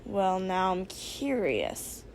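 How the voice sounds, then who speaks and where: Woman as laid-back friend, slow, quiet, deadpan, curious, terse, fan fiction story. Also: mid-range voice, slight background noise, pitched below the performer's own voice, exaggerated voice. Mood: dry, curious. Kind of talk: fan fiction audio reading.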